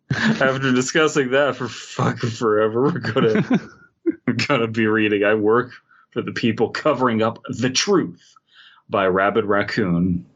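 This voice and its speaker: A man's voice: on the low side, a little slow, fairly bright tone, dull audio. A man hosting a podcast in casual comedic talk, with a mock-serious, sardonic way of speaking. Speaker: podcast host.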